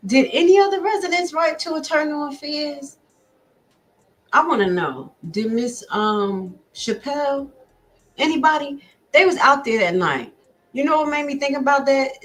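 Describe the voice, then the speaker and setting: A woman's voice: high voice, a bit bright. A woman vlogger giving blunt, profane commentary while reacting to a recording and talking straight to her viewers. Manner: addresses viewers directly.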